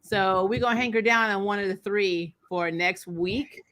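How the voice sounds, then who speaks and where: A woman's voice: mid-range voice, moderate pace, fairly bright tone. A woman co-hosting a fan debate livestream, chatting casually and in a rambling, informal way to viewers.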